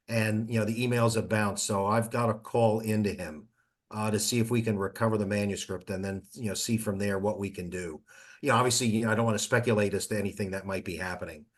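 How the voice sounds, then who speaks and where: Man, lowish voice, informal meeting update, conversational, halting phrasing, peppered with you-knows. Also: fairly bright tone. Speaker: meeting participant giving updates. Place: online teleconference.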